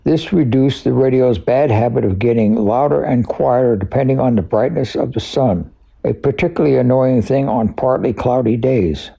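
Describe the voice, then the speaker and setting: A man narrates technical history as an expert collector, in a conversational but scripted way. His voice is very dark and mellow, heavily creaky and husky around the edges. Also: mid-range voice, moderate pace, poor audio. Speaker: radio collector and expert guest.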